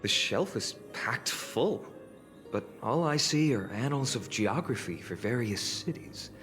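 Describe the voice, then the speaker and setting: A man playing a calm, curious young traveling companion in a video game, speaking in a measured, pondering way. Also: medium pitch, natural voice. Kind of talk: scripted video game character acting. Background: background music.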